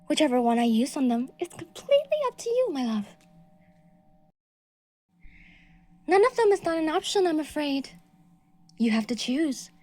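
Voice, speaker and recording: A woman voicing a delusional, possessive young woman stalker in an audio roleplay, moving between soft breathy whispers and shrill outbursts. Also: very animated, fairly quick. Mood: coldly threatening.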